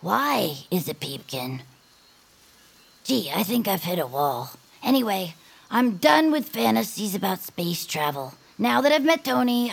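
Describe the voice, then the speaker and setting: A woman's voice: lowish voice, vocal fry, slightly rough, exaggerated voice. A woman voicing a spirited, headstrong young peepkin inventor in a chatty, quirky audio comedy. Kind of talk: audio fiction cartoon-like comedy acting.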